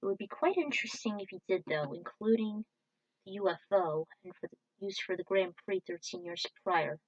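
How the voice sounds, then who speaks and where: A woman's voice: mid-range voice, normal speed, no room sound, lo-fi sound. A woman narrating her own informal, scripted commentary about video games.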